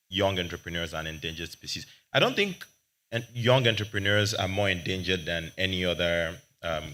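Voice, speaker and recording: A young man, arguing confidently and emphatically as a panelist, speaking into a handheld microphone with a loud voice. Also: low-pitched. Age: young adult. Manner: confident, emphatic, argumentative, says he has a loud voice. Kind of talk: panel discussion remarks.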